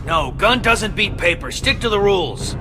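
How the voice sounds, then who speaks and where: An actor playing a bossy, exasperated street thug in a comic video game scene, scolding and slangy. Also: male voice, high-pitched, animated, moderate pace, over music. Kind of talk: comic game cutscene dialogue.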